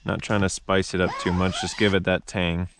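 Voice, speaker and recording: Low gravelly man filming his campsite. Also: a little fast, a little hiss or hum. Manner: relaxed, chatty, addresses viewers as guys. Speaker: fishing and camping vlogger. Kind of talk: casual how-to narration to camera. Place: outdoors at a wooded campsite. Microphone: wearable action camera.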